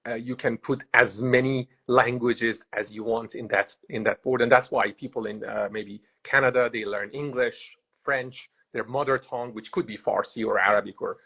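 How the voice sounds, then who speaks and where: A man's voice: mid-range voice, fairly bright tone, slightly rough. A man hosting an interview, asking long questions with many filler sounds and restarts, in a dull lo-fi recording. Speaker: host.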